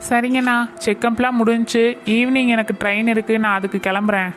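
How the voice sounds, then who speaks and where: A woman playing a polite visiting sister and mother in an animated kids' story. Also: a little high, fast talker, close to an everyday voice.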